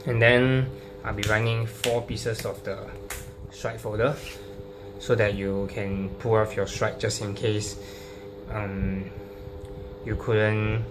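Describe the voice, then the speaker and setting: A man informally explaining a card deck, halting and hesitant, often repeating his phrases. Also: lowish voice. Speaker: trading card deck profile presenter. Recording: clearly noisy recording with hum.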